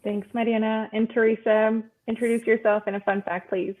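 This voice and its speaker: A woman's voice: on the high side, level tone, brisk. A woman moderating a panel, speaking in a prepared, presentational way over an online call. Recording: narrow phone-like call audio.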